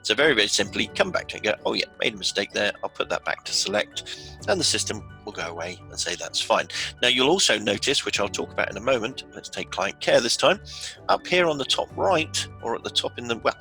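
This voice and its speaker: A man's voice: lowish voice, expressive, quick pace, pushed and bright, soft background music, rough recording. A man talking through an on-screen walkthrough as an instructor, in an informal, conversational, explanatory way. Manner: conversational, explanatory, acts out a user's thoughts. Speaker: software instructor, tutorial narrator.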